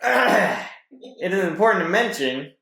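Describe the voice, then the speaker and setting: A man's voice: sits high, lively intonation, slow pace, a bit bright. A man guesting on a podcast, reading posts aloud and chatting casually with some hesitant stumbles. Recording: roomy echo.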